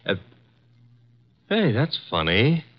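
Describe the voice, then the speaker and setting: An actor playing a breezy, brisk male assistant detective in a 1950s radio drama. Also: medium pitch, huge pitch swings, exaggerated voice. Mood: briefing. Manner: breezy, brisk, matter-of-fact. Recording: dull old radio broadcast.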